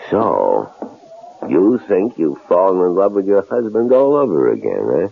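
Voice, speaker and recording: An actor playing a sly, gloating, menacing captor in a 1970s radio drama, sardonic and taunting, on a muffled, noisy recording. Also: male voice, deep voice, huge pitch swings, creaky, full character voice. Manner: sardonic, taunting, drawn-out needling.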